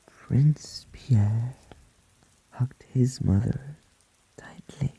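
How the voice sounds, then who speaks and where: ASMR bedtime narrator, female: extremely slow, dark soft tone, whispery and breathy, rain in the background. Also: very deep voice. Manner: hushed, drawn-out phrases with long pauses. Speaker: storyteller. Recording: muffled sound with rain behind.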